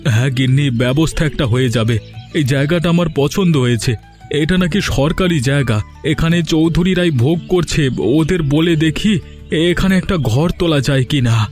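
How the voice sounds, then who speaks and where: A man's voice: fairly high pitch, moderate pace, a little mellow, faint music behind, natural voice. A man voicing a humble, hopeful poor village father in a story cartoon, earnest and deferential. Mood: reassuring. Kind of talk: Bengali moral story cartoon dubbing.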